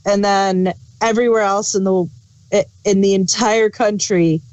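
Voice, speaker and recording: A woman's voice: medium pitch, steady tone, moderate pace, darkish tone. A woman chatting casually as a co-reviewer, repeating her points for emphasis. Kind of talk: casual chat.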